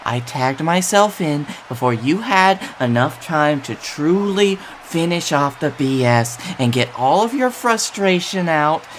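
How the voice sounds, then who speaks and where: A man playing a nervously upbeat wrestler, pleading and coaxing in a halting, emphatic promo style. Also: a little high, animated, a bit bright, a little hiss or hum. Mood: pleading, apologetic.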